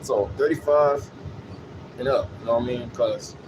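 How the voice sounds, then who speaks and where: A man's voice: mid-range voice, moderate pace. A man in his late thirties talking off the cuff in a casual, repetitive ramble, often checking in with "you know" tags. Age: late 30s. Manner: repetitive, peppered with "you know what I'm saying". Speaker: vlogger. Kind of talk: casual rambling monologue with anecdotes. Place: on a city bus. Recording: clear vehicle and air noise.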